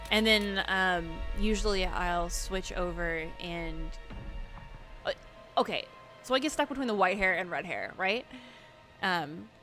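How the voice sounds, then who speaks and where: A woman's voice: medium pitch, a bit bright. A woman hosting a gaming video, giving casual, chatty play commentary as she thinks aloud.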